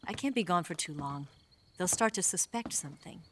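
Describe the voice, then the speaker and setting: An actress playing a composed, gently teasing female agent guiding a soldier in a video game cutscene. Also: lowish voice, lively intonation, fairly quick, slight background noise.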